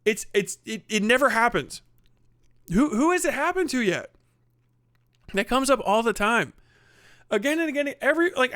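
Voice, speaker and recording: High-voiced sarcastic male streamer. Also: fairly bright tone, no room sound, studio quality. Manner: sarcastic, profane, laughs at his own jokes. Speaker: livestream host. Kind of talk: casual ranting livestream commentary.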